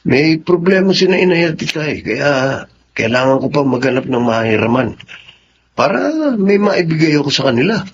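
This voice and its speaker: High-voiced, sheepish young boyfriend. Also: male voice, animated, moderate pace. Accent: Filipino. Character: sheepish, ingratiating young boyfriend short of money. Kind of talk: radio drama acting. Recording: dull lo-fi audio, faint noise.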